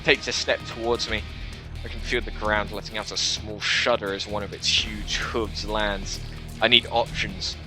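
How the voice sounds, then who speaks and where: A man reading a game's story aloud in the first person, with an expressive storytelling delivery. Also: lowish voice, normal speed, music in the background. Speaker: video game let's player reading a visual novel aloud. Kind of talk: dramatic first-person narration of game text with casual asides.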